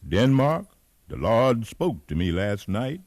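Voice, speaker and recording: An actor playing a devout, fatherly elder among rebel slaves, measured and preacherly in 1950s radio drama style. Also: medium pitch, sing-song, darkish tone, no room sound, studio quality. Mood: grave warning. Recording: remastered 1950s radio broadcast.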